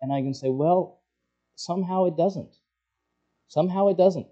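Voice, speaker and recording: A man preaching an expository sermon, working through scripture as a teacher would, often halting as he searches for words. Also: high voice, warm tone, highs cut off.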